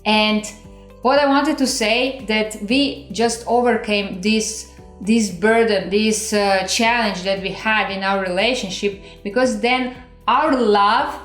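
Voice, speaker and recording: A woman's voice: medium pitch, takes it slowly, a bit bright, echoey room, faint music behind. A woman with a Croatian accent, a native Croatian speaker, giving earnest, encouraging relationship advice as a co-host. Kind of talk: casual advice talk.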